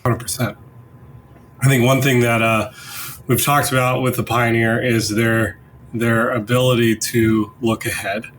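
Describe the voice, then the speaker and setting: Male podcast host, medium pitch, conversational business talk, slight noise on the recording. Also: flat delivery, unhurried, fairly bright tone, rough recording. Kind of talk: casual business discussion.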